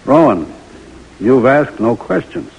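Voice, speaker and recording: An actor playing a stern, commanding army major, terse and dryly sardonic, in an old-time radio drama. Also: male voice, mid-range voice, animated, slow pace, gravelly, breathy edge, exaggerated voice. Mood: grave, commanding. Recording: muffled vintage radio recording.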